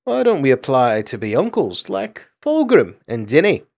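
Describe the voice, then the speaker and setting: A man voicing a calm, good-humoured male mouse warrior with a mild, amused manner. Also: medium pitch, moderate pace, gravelly, full character voice.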